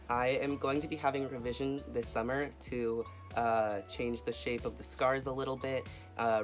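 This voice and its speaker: A young man in a casual video update, talking earnestly and softly with many ums and uhs. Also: mid-range voice. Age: young adult. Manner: soft-spoken, earnest, with frequent fillers. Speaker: vlogger.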